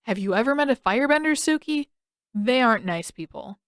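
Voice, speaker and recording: A woman voicing an excitable, flustered teenage boy with an exasperated manner in a story. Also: a little high, a little fast, clear, resonant tone, no room sound, clean studio sound, pitched above the performer's own voice.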